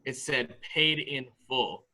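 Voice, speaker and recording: A man playing an excitable, guileless fellow who speaks with childlike eagerness in a murder mystery reading. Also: mid-range voice, slow, low-quality recording. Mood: excited. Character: excitable, guileless male household member blurting things out.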